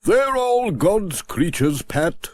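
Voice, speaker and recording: Man playing gentle village vicar, pious, drawn-out preachy delivery, raised pitch, storybook. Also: sing-song, full character voice. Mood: gently pious.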